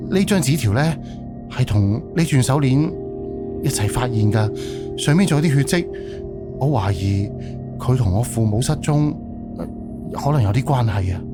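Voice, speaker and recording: A man's voice: mid-range voice, lively intonation, moderate pace, long pauses, close to an everyday voice. A man acting a young, polite and inquisitive haunted-house owner in a Cantonese audio drama, asking hesitant questions. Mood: earnest, uneasy. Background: background music.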